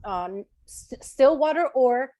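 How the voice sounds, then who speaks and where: A woman's voice: high voice, animated, takes it slowly, mellow, dry recording. A woman from Chile speaking as a tour guide in an informal talk, explaining and checking in with her listeners. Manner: explanatory, checks in with listeners, right and okay tags. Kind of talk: informal online lecture with question session.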